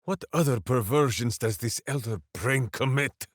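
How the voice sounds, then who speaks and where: A man's voice: mid-range voice, normal speed, no room sound, studio quality, natural voice. A man playing a dim, big-hearted warrior hero in a video game, boisterous and theatrical, speaking of himself in the third person. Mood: earnest, simple-hearted. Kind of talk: video game character voice lines.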